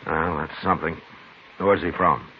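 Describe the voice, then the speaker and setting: An actor playing a dry, laconic, world-weary American frontier marshal in old-time radio western style. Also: male voice, very deep voice, fairly even intonation, moderate pace. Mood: wry. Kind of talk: 1950s radio western acting and narration. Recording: muffled, hissy old radio broadcast recording.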